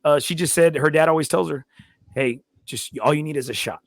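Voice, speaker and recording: A man's voice: a little high, a little fast, dry recording, studio quality. A man in his forties, a guest and coach, talking bluntly and casually with some swearing. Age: 40s. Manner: blunt, unfiltered, swears freely. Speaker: podcast guest. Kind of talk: casual chat.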